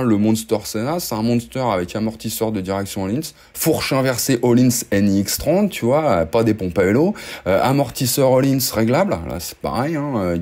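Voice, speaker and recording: A man's voice: medium pitch, darkish tone. A man hosting a motorcycle news video, chatting casually with sardonic jokes, gloomy asides and lots of fillers. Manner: sardonic, joking, gloomy gravedigger tone.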